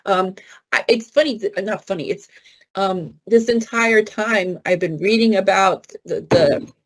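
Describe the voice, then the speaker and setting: A woman's voice: mid-range voice, measured pace. Effusive woman moderating a panel. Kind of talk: conversational panel questioning.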